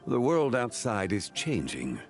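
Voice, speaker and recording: Deep-voiced, solemn ancient god. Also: male voice, huge pitch swings, exaggerated voice. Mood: solemn, resolute. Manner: measured, solemn, formal. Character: grave, composed ancient male god. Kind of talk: fantasy game cutscene acting. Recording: music behind the voice.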